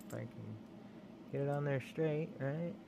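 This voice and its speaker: A man with a Texan drawl talks in an easygoing, rambling way and keeps breaking into small chuckles. His pitch sits in a middle range. A faint hiss runs under a plain camera-mic recording made at home. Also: measured pace, vocal fry. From Texas. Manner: enthusiastic, rambling, says y'all, chuckles often. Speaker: lock collecting channel host. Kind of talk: casual show-and-tell vlog.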